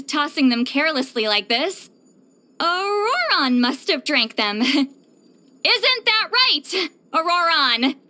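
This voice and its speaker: Casting: reclusive female shaman for a video game; bright, very animated delivery, touchy, theatrical, easily flustered. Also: sits high, full character voice. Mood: flustered, defensive. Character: prickly, flustered, reclusive female shaman who loves novels and wine. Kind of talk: English video game character voice lines.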